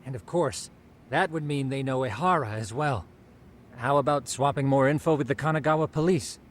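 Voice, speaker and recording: A man's voice: normal speed, lightly acted. An actor playing a brainy, fussy male investigator in a game dub, articulate and matter-of-fact. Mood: analytical. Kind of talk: dubbed video game cutscene acting. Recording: rumbling noise behind the voice.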